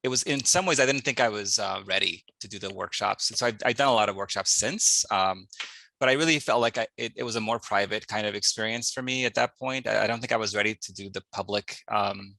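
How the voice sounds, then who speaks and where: Gravelly, bright-toned man in his forties. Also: on the low side, quick pace, no echo. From United States. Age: 40s. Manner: candid, musing, self-deprecating. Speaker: poet and English professor, panel guest. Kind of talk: reflective panel conversation.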